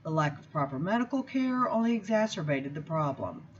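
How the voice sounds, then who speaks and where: A woman reads from a book aloud in an expressive style, with measured, deliberate phrasing and emphatic pauses. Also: deep voice, muffled recording, slight background noise. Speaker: seminary student reading aloud. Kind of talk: expressive book reading with spoken introduction.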